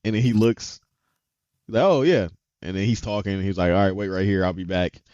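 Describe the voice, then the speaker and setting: A man's voice: sits low, a little fast, no room sound. Slangy man retelling episodes, dry dull sound. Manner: animated retelling, acts out character lines, slangy. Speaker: podcast co-host. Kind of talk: casual chat recapping anime episodes.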